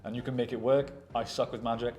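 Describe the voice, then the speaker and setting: Bloke, normal pitch, casual self-deprecating co-host chatter, quiet music behind. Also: male voice, flat delivery, fast. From Wales. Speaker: co-host of a tabletop wargaming show. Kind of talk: casual chat.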